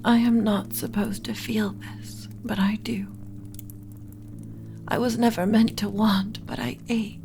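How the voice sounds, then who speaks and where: A woman's voice: mid-range voice, flat delivery, measured pace, natural voice. A woman playing an ancient, yearning undead lich queen in an intimate, whispery ASMR romance roleplay, speaking close to the mic with solemn, formal drama. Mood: aching, pleading. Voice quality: breathy, whispery. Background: music.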